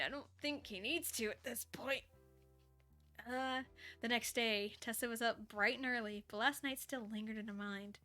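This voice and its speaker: A woman's voice: on the high side, sharp tone. A woman streamer reading fan fiction aloud in a casual way, given to breaking off for offhand reactions of her own. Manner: breaks off to react and giggle at the story. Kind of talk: casual live story reading with offhand asides.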